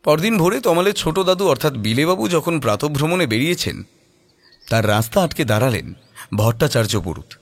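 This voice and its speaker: A man's voice: fairly high pitch, big pitch swings, fairly quick. A man narrating a scripted story in a dramatic storytelling style.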